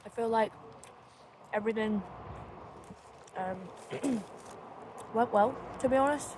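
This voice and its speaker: A woman's voice: a little high, slow pace. A young woman chatting casually into a handheld phone as she walks down the street, with plenty of fillers. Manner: chatty, filler-heavy, walking while talking. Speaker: vlogger. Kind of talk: casual vlog chat. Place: outdoors on a street. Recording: phone vlog with street noise.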